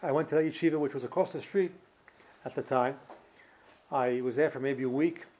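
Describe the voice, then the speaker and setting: A man in his fifties with a New York accent, giving an informal personal talk as a guest speaker in a halting, slightly uneasy way. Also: mid-range voice, darkish tone, poor audio. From New Jersey and Brooklyn, New York, United States. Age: 50s. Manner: hesitant, halting, slightly ill at ease. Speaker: guest speaker, former yeshiva student.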